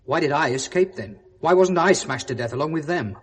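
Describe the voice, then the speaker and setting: A man's voice: fairly high pitch, expressive, a little fast, husky. An actor playing a troubled, earnest and guilt-ridden educated British man in a 1970s radio drama. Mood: anguished, challenging.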